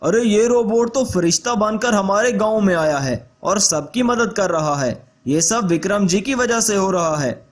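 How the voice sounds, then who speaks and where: A man's voice: sits high, animated, measured pace, hardly any pauses. A man voicing a grateful, admiring villager in a children's story.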